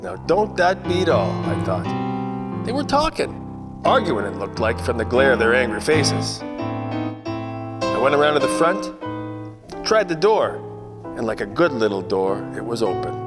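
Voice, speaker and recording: An actor plays a hard-boiled private detective from a 1940s radio play. He narrates in slangy, wisecracking fashion at an average male pitch, with plucked guitar music clearly behind him. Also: sing-song, unhurried, pauses often. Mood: surprised, wry. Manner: wry, slangy storytelling.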